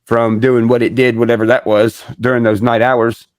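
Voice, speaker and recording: A man's voice: medium pitch, little pitch movement, moderate pace, no echo. A man chatting casually in loose, laid-back slang. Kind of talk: casual chat with slangy speech.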